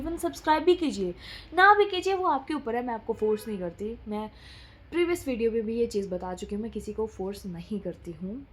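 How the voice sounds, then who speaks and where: A woman's voice: on the high side, a little mellow, a little hiss or hum. A young woman vlogging in casual, rambling chat, often switching between Hindi and English.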